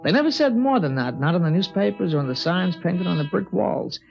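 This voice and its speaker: A man's voice: high-pitched, lively intonation, brisk, creaky, faint music behind. An actor playing a thoughtful, earnest teenage boy who narrates a 1950s radio drama, from a dull old recording. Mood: somber. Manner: earnest, reflective, somber.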